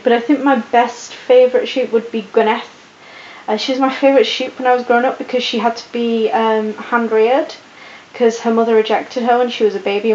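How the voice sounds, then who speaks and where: Croaky young woman with a posh British accent. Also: a little high, a little mellow. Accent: put-on posh British. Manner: rambling, chatty, with many ums and restarts. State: croaky and sleep deprived. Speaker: vlogger answering viewer questions. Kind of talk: casual Q&A chat. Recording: echoey room, dull lo-fi audio with faint hiss.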